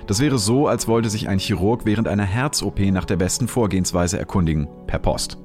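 Man, very low voice, calm measured narration, faint music. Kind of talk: scripted science narration.

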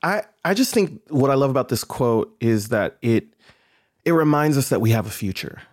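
Male panelist, medium pitch, reflective and conversational, very dry sound. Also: animated, normal speed, dry recording. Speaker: podcast panelist. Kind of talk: casual, thoughtful panel discussion.

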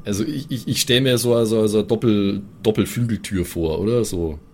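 A man's voice: lowish voice, normal speed, a little mellow, slight background noise. A man talking as a player in an improvised role-playing game, hesitating and repeating words as he thinks aloud. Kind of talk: improvised in-character table talk. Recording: music behind the voice. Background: music.

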